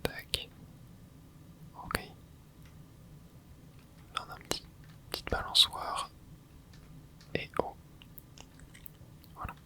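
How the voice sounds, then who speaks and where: A host whispering casual ASMR commentary over gameplay, reacting excitedly and chatting to viewers. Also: drawn-out words, pauses often. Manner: casual, chatty, self-correcting. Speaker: channel host.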